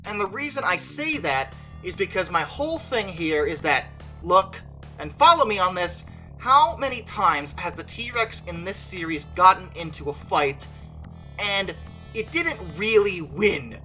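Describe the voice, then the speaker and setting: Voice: medium pitch, pushed and bright, poor audio. A video creator giving an opinionated, conversational movie review, stretching stressed words for emphasis.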